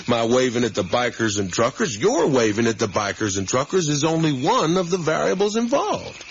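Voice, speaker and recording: An actor playing an easygoing, talkative middle-aged father in a naturalistic radio drama, with a folksy, rambling, gently teasing manner. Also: mid-range voice, sing-song, normal speed, vocal fry. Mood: musing, playful. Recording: muffled, hissy 1980s radio broadcast.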